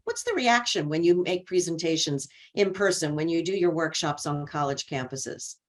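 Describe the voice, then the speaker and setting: A woman hosting a webinar interview, asking questions in a warm, encouraging way. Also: sits low, lively intonation, normal speed. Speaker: host.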